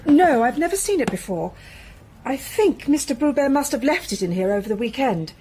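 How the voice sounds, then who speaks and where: A woman playing a brisk, wary, matter-of-fact secretary in a radio drama. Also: high-pitched, sing-song, dark tone, a little hiss or hum. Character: brisk female secretary, crisp, wary but cooperative.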